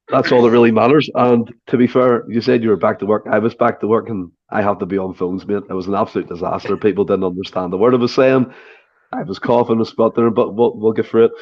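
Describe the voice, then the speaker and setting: A hoarse man with a Scottish accent hosting a casual podcast, chatty and leading the talk with questions. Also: fairly low pitch, lo-fi sound. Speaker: podcast host. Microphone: remote call setup.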